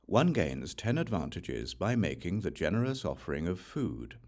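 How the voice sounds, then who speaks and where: A man reading scripture as an audiobook, in a measured, deliberate way with pauses between points. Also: deep voice, sing-song, a little mellow. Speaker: audiobook reader.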